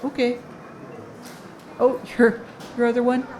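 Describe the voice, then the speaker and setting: Woman playing a flustered, high-pitched crowd helper. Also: little pitch movement, a little slow, a little mellow, a little hiss or hum, close to an everyday voice. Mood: polite fluster. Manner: polite, hesitant, nervous. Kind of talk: improvised comedy audio drama.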